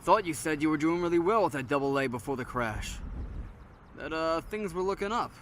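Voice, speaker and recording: A man's voice: on the high side, expressive, fairly quick, a bit bright, a little hiss or hum. A man playing an easygoing, philosophical survivor in an audio drama, wry, slangy and teasing. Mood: upbeat persuasion. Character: easygoing, philosophical male survivor, restless tinkerer. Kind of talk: audio drama acting, casual dialogue.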